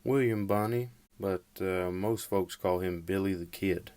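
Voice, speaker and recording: An actor playing a stern Old West lawman with a slow, laconic drawl. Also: deep voice, darkish tone, no room sound.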